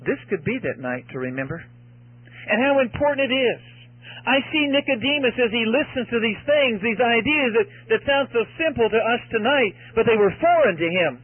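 A man's voice: extremely high pitch, moderate pace, no echo. A man preaching an evangelistic sermon to an audience, earnest and exhorting, repeating phrases for emphasis, in a muffled lo-fi recording.

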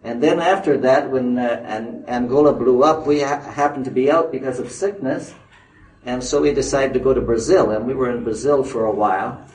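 An older man, a missionary addressing a conference audience in a halting way, full of fillers and restarts. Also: medium pitch, darkish tone. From Northern Ireland. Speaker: missionary speaker. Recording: roomy hall recording from a distance.

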